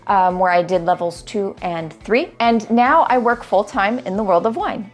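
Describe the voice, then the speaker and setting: A woman in her thirties from California with an American accent, presenting her channel in a clear, measured, friendly way. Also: medium pitch. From California, USA. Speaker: co-host, wine presenter. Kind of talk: scripted presentation to camera.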